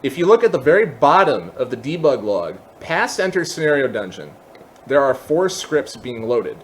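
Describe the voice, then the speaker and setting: Guy with a mid-pitched voice walking thru a software tutorial, informal n a bit self-deprecating, some noise behind him. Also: very animated, moderate pace. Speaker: tutorial host. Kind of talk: casual software tutorial walkthrough.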